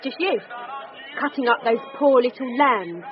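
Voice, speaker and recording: A woman's voice: fairly high pitch, lively intonation, measured pace, muffled recording, music in the background. A woman acting in a radio drama. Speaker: actor.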